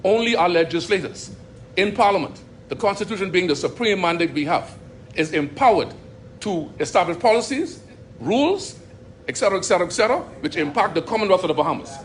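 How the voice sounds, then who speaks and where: A man's voice: sits high, lively intonation, a little fast, a little hiss or hum. A man from the audience questioning a speaker at a public forum, insistent, repeating himself with many restarts. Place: public meeting hall.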